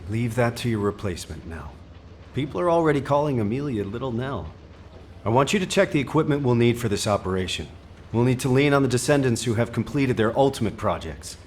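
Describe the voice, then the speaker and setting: An actor playing a decisive military commander in a video game, firm, authoritative and matter-of-fact. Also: male voice, medium pitch, animated, a little fast, a little mellow, slight background noise, lightly acted. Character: decisive male military commander briefing his team. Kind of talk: scripted game dialogue. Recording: faint game ambience behind voice.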